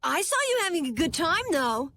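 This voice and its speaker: A woman playing an upbeat, enthusiastic youngster in a video game adventuring party, chirpy and excitable. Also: sits high, fairly quick, slight background noise, heavily acted. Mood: cheerful.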